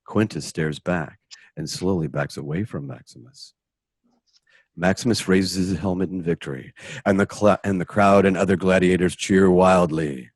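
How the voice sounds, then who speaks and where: A man with a husky voice narrating a radio drama from a script. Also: low voice, normal speed, no echo.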